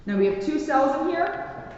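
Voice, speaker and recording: A woman's voice: sits high, animated, normal speed, a little mellow. A woman police officer giving an informal guided tour, explaining plainly and addressing viewers directly, recorded indoors from a distance. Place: indoor rooms and hallways of a police station. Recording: roomy, muffled, noisy, distant camera microphone.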